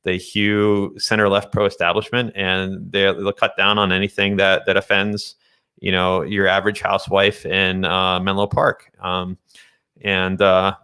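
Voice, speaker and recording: Casual male guest, very low pitch with a forceful edge, thinks aloud in halting phrases. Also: completely flat delivery, clean tone. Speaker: guest, day trader. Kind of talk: casual discussion of finance and tech.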